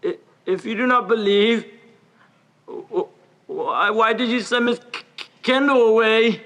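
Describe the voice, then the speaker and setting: A teenage male student acting on stage as a gentle, earnest outcast who speaks in a halting stammer. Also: medium pitch. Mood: questioning. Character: gentle, earnest, deformed young man seeking acceptance. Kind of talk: high school stage play acting.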